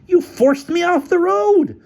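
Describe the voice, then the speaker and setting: A man voicing an indignant, hot-headed police sergeant in a storybook, shrill, emphatic and accusing. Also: very animated, measured pace, a little mellow, heavily acted. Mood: outraged, accusing.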